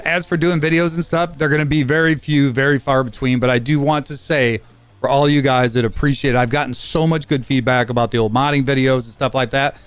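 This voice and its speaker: A man in his fifties giving a rambling, casual update on his gaming channel, talking fast with frequent fillers and restarts. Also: mid-range voice, clean tone, no echo. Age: 50s.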